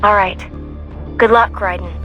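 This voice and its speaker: An actress playing a young, loyal, idealistic mission support operator in a video game, hesitant yet heartfelt. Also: female voice, mid-range voice, steady tone, unhurried, phone-quality sound, faint music behind, lightly acted. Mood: reassuring.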